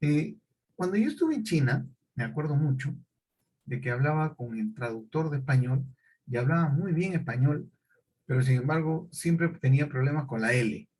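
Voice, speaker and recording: A man's voice: a little high, animated, normal speed, a little mellow, slight background noise, rough recording. A middle-aged male teacher, lecturing a class and often checking in with questions.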